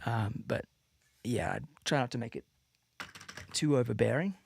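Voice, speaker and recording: A man's voice: mid-range voice, normal speed, creaky, slight background noise. A man in his thirties from Australia, with an accent between Australian and American, chatting casually and loosely to livestream viewers as a musician. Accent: mixed Australian-American. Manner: relaxed, rambling, many fillers and restarts. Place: home room with a slight added reverb.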